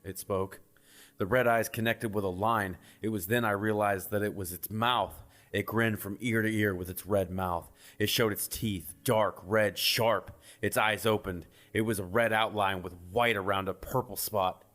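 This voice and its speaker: A man's voice: lowish voice, fairly even intonation, normal speed, a bit bright. A man reading a scripted first-person creepypasta as a horror story narrator. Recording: music behind the voice.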